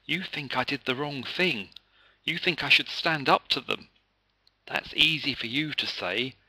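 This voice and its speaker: A man's voice: mid-range voice, animated, unhurried, sharp tone, husky, no room sound, voice pushed up, heavily acted, low-quality recording. A man voicing an earnest, chatty young schoolboy in a children's story. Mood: doubtful. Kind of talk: children's story read aloud.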